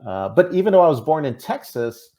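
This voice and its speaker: Halting man with a Minnesotan accent. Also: a little high, very animated, mellow. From Minnesota, USA. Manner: hesitant, many fillers and restarts. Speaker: podcast guest. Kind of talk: casual interview talk.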